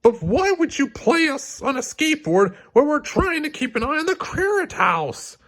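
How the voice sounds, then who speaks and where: A man's voice: extremely high pitch, sing-song, moderate pace, fairly bright tone, pitched above the performer's own voice, full character voice. A man voicing an exasperated, hot-tempered male cartoon vegetable detective in a children's storybook, indignant and sputtering. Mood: grumbling, angry.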